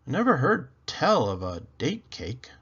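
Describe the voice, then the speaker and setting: Man putting on an eager storybook housekeeper lady, high-pitched. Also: huge pitch swings, measured pace, a bit bright, husky, muffled recording, heavily acted. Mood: puzzled, pondering. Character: literal-minded, cheerful, eager housekeeper woman.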